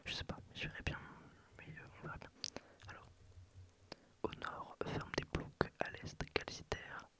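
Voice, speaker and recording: A man with a head cold whispering a rambling, soft ASMR game playthrough close to the mic. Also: unhurried. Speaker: gameplay ASMR creator. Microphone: close ASMR microphone.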